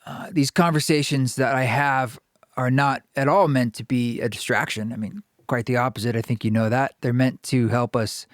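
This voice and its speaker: A man hosting a podcast, speaking thoughtfully with pauses and fillers as he reflects and introduces a guest. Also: medium pitch, fairly even intonation, no room sound, clean studio sound. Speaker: podcast host. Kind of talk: reflective podcast monologue and interview.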